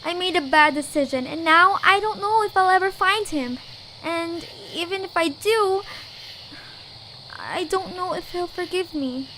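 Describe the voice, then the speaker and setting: A woman's voice: very high-pitched, measured pace, bright, forceful tone, slight background noise, heavily acted, poor audio. A woman voicing an earnest, hesitant young girl adventurer in an audio drama. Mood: worried, guilty. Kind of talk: audio drama character acting.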